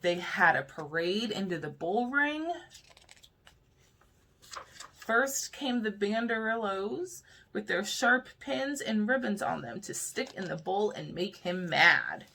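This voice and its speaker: A woman's voice: mid-range voice, expressive, slow pace, gravelly, sharp tone. A woman reading a children's story aloud like a preschool teacher, expressively, with questions aimed at young listeners.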